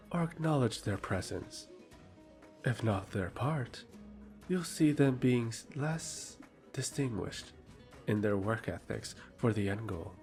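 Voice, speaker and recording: A haughty noble lord with a low voice in an audio drama. He is sardonic and pompous, handing out lectures in formal, measured phrases over music. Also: a little mellow. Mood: lecturing.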